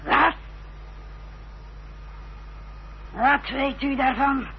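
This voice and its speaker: Haughty, creaky-voiced bitter mother. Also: sits high, fairly even intonation, heavily acted. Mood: indignant, angry. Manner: curt, contemptuous, measured delivery. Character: bitter, haughty, secretive older mother, cold and scornful. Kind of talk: radio drama acting. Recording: dull, noisy old radio-play recording with hum.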